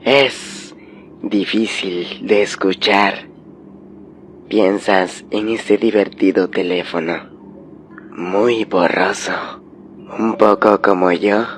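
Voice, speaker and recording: A man's voice: fairly low pitch, fairly even intonation, slow pace, long pauses. A man playing an odd, friendly puppet-show character in a scripted monologue. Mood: calm, reassuring. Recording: clearly noisy recording.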